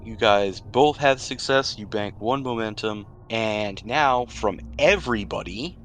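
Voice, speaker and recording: A man's voice: on the low side, a little slow, a bit bright, a little hiss or hum. A man running a casual online tabletop game as game master, explaining rules and joking conversationally.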